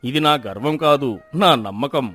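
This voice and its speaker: An actor playing a proud, commanding village landlord in a moral story cartoon, with a pompous, imperious manner. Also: male voice, medium pitch, expressive, normal speed, fairly bright tone, faint music behind. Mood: proud boasting. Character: proud, commanding village landlord anxious about his honour.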